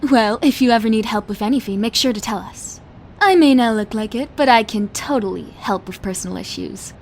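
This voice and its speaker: A woman acting an excitable, chatty best friend in an audio drama, bubbly and sassy with exaggerated emphasis. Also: a little high, fairly quick, vocal fry, slight background noise. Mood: concerned, dramatic.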